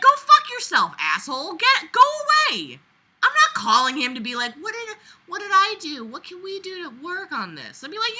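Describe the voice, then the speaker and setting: A woman's voice: sits high, pushed and bright. A young woman reading a book aloud and breaking in with mocking, incredulous, sweary commentary in a theatrical, exasperated manner. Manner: mocking, sarcastic. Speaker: host of a comedic book read-along.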